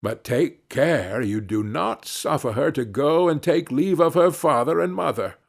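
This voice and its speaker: A man's voice: on the high side, expressive, unhurried, creaky, heavily acted. A man voicing a wise old male fox in a storybook, scolding and patiently instructing in formal, old-fashioned phrasing. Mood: scolding, advising.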